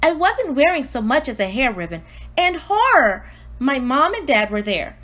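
A precocious storybook baby, acted out by a woman, with a high, bright voice. The delivery is earnest and exclamatory, swooping widely in pitch, full of indignant, eager self-importance and drama. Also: very animated, moderate pace. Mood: indignant surprise. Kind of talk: expressive storybook read-aloud.

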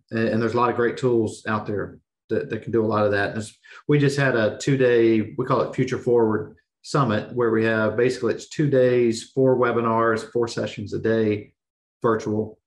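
A middle-aged man hosting a podcast, hoarse and losing his voice, talking in a relaxed, rambling way over a video call. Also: fairly low pitch. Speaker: podcast host. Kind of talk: casual podcast interview. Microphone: video call webcam.

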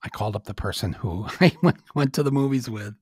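A man's voice: medium pitch, expressive, a little fast, darkish tone, husky, no echo, studio quality. A man in his sixties hosting a podcast, talking in a rambling, self-deprecating way with chuckles and lots of "uh". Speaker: podcast host. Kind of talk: casual monologue.

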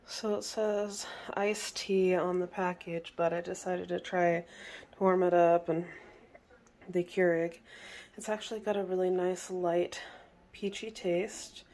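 Slow-talking young woman vlogging at home. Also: on the low side, steady tone, darkish tone. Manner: chatty, slow, frequent throat clearing. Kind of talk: casual home vlog narration. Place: home kitchen, indoors.

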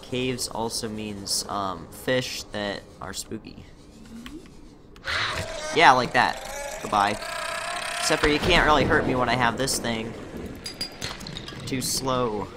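A young man commentating his own gameplay in a casual, chatty way, muttering to himself, his voice slightly rough from a recent illness. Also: mid-range voice, slow, pauses often, noisy background. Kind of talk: casual let's-play commentary.

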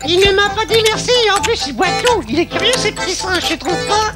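A woman's voice: extremely high pitch, expressive, fairly quick. A woman voicing a cheeky, sceptical little jungle animal in a French cartoon dub, chatty and teasing. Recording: loud music behind the voice.

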